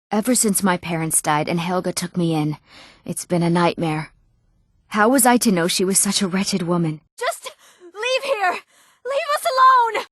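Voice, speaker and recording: A woman playing an earnest, emotional young townswoman in a fantasy video game, speaking clearly and expressively. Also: on the low side, no room sound, clean studio sound, close to an everyday voice. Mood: bitter. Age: young adult. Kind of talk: scripted fantasy game dialogue.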